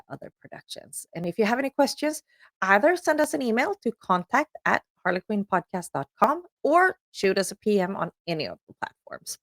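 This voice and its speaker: Lively Swedish-accented woman host. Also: medium pitch, no echo. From Sweden. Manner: upbeat, chatty, with frequent ums. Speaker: podcast host. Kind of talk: solo promotional announcement.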